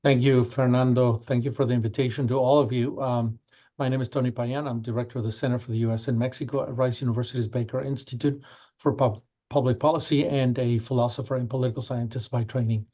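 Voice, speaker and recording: A man giving a brisk, matter-of-fact introduction on a virtual panel over dull, lo-fi audio. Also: mid-range voice, steady tone, dark tone. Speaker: policy institute director. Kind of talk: brief self-introduction on a panel. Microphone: video call. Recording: dull lo-fi video call audio.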